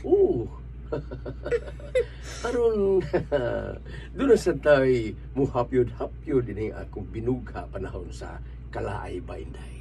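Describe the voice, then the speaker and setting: An actor in a Cebuano comedy radio drama playing a lecherous, teasing older boss who chuckles and leers, with a Cebuano Filipino accent. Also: male voice, mid-range voice, big pitch swings, takes it slowly, warm tone, slight background noise, low-quality recording. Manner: leering, chuckling, teasing asides.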